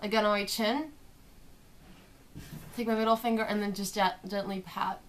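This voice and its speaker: A young woman with a slightly hoarse voice from a sore throat, chatting through a makeup tutorial in her bedroom, recorded on a webcam. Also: mid-range voice, fairly even intonation, normal speed. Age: young adult. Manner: chatty, explains each step while applying makeup. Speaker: beauty vlogger. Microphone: webcam at desk distance.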